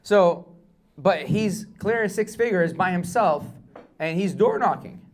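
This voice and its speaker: Man, higher-pitched voice, blunt, joking, animated, talks casually to a meetup crowd. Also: a little slow. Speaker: guest speaker at a real estate meetup. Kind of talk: casual talk to a live audience. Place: meetup room with a live audience.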